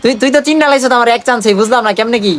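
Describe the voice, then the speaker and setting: A man's voice: very high voice, racing, over loud music. A young man with a Bangladeshi accent chatting casually as a vlogger, in a teasing, slangy way. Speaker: vlogger making prank calls. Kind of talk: casual vlog chat to viewers and on calls.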